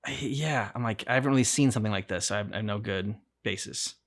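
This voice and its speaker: A man hosting a reaction video, thinking aloud in a casual, halting, repetitive way. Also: on the low side. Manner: rambling, halting, repeats himself. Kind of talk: casual chat, reacting to a game.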